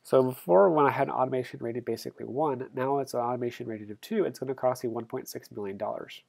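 A man's voice: medium pitch, quick pace, creaky, darkish tone. A man teaching a business simulation, talking step by step through a screen recording and correcting himself as he goes. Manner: explains step by step, self-corrects. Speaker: instructor. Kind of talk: screencast tutorial walkthrough.

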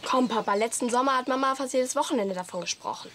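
An actress playing a cheeky, unimpressed teenage daughter who speaks bluntly in a German radio comedy. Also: female voice, high-pitched, fast talker, a bit bright, slight background noise. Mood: matter-of-fact.

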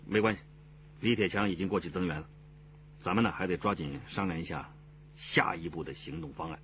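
An actor playing a composed, decisive police detective chief in a radio crime drama. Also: male voice, sits low, fairly quick, fairly bright tone, lo-fi sound, slight background noise. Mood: deliberating.

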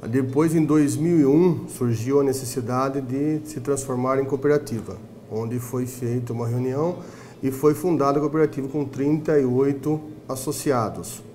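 A man's voice: mid-range voice, steady tone, normal speed, dark tone, slight background noise. A man, president of a cooperative, explaining its history matter-of-factly in an office. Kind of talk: interview explanation. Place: indoor office.

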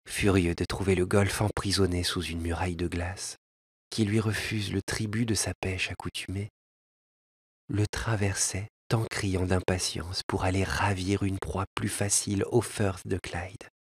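A gentle, lovelorn male elf pours out his sorrow in a soft, lyrical way, as a man reading a tale puts him on. His voice sits low and very breathy, close and dry, with a faint piano behind. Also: steady tone, measured pace, dry recording, exaggerated voice. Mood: wistful.

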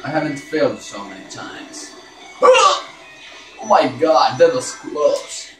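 A man's voice: fairly high pitch, lively intonation, takes it slowly. A man giving casual live gameplay commentary, exclaiming and swearing in frustration as he plays. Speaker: gaming video host. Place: indoor room in front of a television. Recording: roomy, distant mic, game sound behind. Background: faint game music from television.

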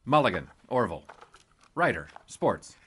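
An actor playing an eager, cheeky young 1920s sportswriter, glib and jesting, in an audio comedy drama. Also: male voice, fairly low pitch, very animated, normal speed, a bit bright, husky, exaggerated voice.

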